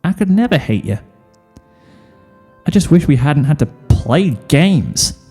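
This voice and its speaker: A man plays a young country cowgirl, shy and tender, in a fan fiction story. The phrasing is folksy and Southern, the pitch lifted above the reader's own. The words come slowly, with a reverberant tail. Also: very animated, darkish tone, slightly rough, faint music behind, heavily acted. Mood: tender, reassuring. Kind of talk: fan fiction audio reading.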